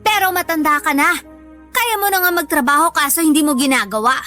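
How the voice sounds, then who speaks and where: A woman's voice: high-pitched, brisk, sharp tone, soft background music. A woman with a Filipino accent playing a hardworking, long-suffering older mother in a radio drama, plaintive and motherly. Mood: hurt, scolding.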